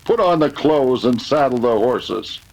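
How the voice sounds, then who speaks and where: A man's voice: medium pitch, lively intonation, measured pace, creaky, a little mellow, rough recording. A man playing a resolute masked cowboy hero, giving formal, authoritative orders in an old-time radio western.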